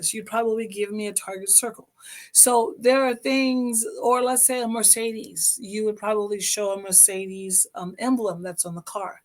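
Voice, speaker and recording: A middle-aged woman hosts a motivational podcast, her voice slightly hoarse. She speaks encouragingly and directly to her audience. Her pitch sits in an ordinary middle range. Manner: warm encouraging, direct address to listeners. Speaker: podcast host.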